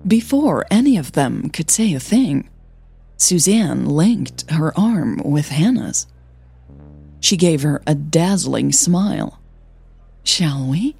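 A woman narrating audio fiction as the host, in a measured, deliberate storytelling manner. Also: deep voice, sing-song, vocal fry, mellow, breathy edge, no room sound, faint music behind.

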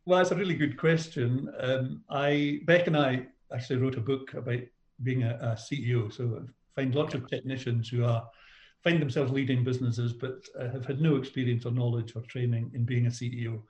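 A middle-aged Scottish man talks as a business coach in an interview. His pitch is average for a man. The sound is clearly roomy, with echo around the voice. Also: steady tone, fairly quick, a little mellow, muffled recording. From Scotland. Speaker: business coach, podcast guest. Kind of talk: conversational interview answers.

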